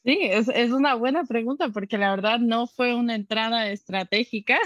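Bright-voiced woman, upbeat guest. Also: on the high side, normal speed, no room sound, highs cut off. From born in the United States, raised in the Dominican Republic, Ecuadorian family. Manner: laughs often, upbeat and lively. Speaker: tech worker, podcast guest. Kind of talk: conversational interview.

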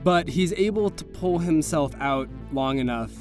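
A man's voice: a little high, expressive, measured pace, a little mellow. A man narrating an improvised tabletop game as game master, casual and vivid in his describing. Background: music.

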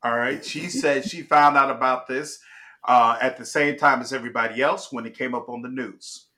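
Male host, from Chicago, bright and forceful tone, emphatic conversational commentary. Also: mid-range voice, steady tone, moderate pace. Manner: emphatic, restarts and checks in with "all right?". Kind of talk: casual political commentary.

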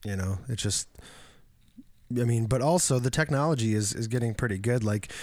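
A man hosting a casual interview, chatting in a relaxed, meandering way. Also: medium pitch, moderate pace, no room sound, clean studio sound. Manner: relaxed, chatty, drifting with fillers. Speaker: podcast host.

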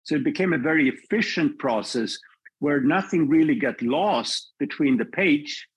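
A male filmmaker being interviewed on a podcast, talking in a measured, reflective way and often restarting his sentences. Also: fairly high pitch, lively intonation, slightly rough. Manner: thoughtful, measured, with frequent restarts. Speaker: filmmaker guest.

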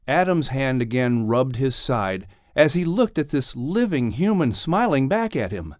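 A man reading a children's adventure audiobook as narrator, in an expressive scripted storytelling style. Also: medium pitch, unhurried, dry recording, clean studio sound.

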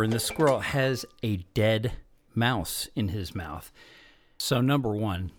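Male podcast host, lowish voice, relaxed and chatty, rambling anecdotes. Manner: relaxed storytelling, chatty with many fillers. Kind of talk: casual podcast chat.

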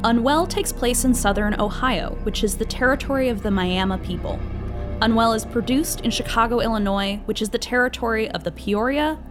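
A woman's voice: fairly high pitch, a little fast. A woman reading scripted credits and acknowledgments. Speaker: credits announcer. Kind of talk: scripted narration. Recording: music behind.

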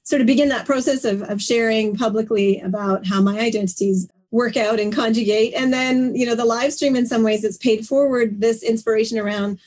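A woman hosts a conversational interview. Her voice sits a little high. She phrases things reflectively and wordily, often repeating a word as she works toward her point. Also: fairly even intonation, a little fast, hardly any pauses, a little mellow. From Canada. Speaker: live stream host, interviewer.

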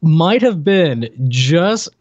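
A man's voice: fairly high pitch, very animated, drawn-out words, darkish tone, dry recording. A man co-hosting a casual game review show with teasing banter, on a slightly dull microphone. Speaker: podcast co-host.

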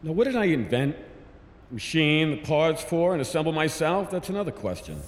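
Worried science boss, sarcastic, animated intonation, echoey room, audio drama. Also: male voice, on the high side, normal speed. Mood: dry, wry. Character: anxious, exasperated male boss of a science team. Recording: echoey reverberant lab, faint noise.

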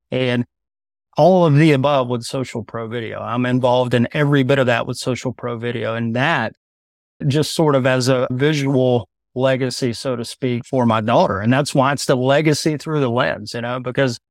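A man in his thirties talks bluntly and with animation as a podcast guest, speaking in a loose conversational way. His voice is mid-pitched and recorded dry and close with no room sound. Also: gravelly, no room sound. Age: 30s. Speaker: podcast guest, video business owner.

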